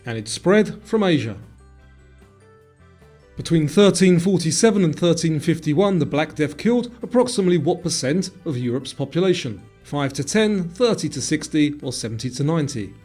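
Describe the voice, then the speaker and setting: A man with a somewhat high voice narrates a scripted quiz. His delivery is measured and presenterly, and music plays behind him. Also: very animated, a little mellow. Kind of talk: scripted quiz narration, multiple-choice questions.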